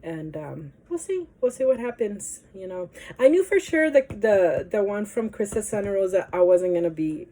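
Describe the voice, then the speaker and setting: A woman's voice: mid-range voice, lively intonation, moderate pace, hardly any pauses, mellow. A woman chatting casually to her camera in a rambling, hesitant way, her nose stuffy from allergies. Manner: rambling, hesitant, many fillers. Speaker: vlogger.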